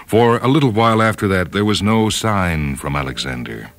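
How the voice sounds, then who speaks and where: A man reading a story as a radio host, in a measured, solemn, declamatory dramatic style. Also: low voice, expressive, a bit bright. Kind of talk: dramatic scripted storytelling narration. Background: orchestral and piano music.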